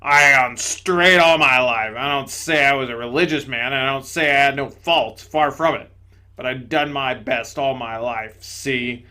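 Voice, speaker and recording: A man voicing a blustering, self-righteous big male ghost who talks loudly in rough, ungrammatical working-class speech, as part of a storybook reading. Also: mid-range voice, a little slow.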